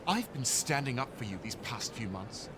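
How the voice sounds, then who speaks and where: Man playing exasperated police inspector, blunt, mildly swearing, lively pitch, faint noise. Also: moderate pace, close to an everyday voice.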